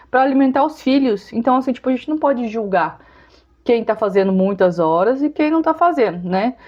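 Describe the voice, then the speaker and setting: A woman's voice: a little high, a little mellow, lo-fi sound. Chatty Brazilian woman in her forties. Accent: Brazilian Portuguese. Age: 40s. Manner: chatty, confiding, laughs often. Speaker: vlogger. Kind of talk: casual talk to camera.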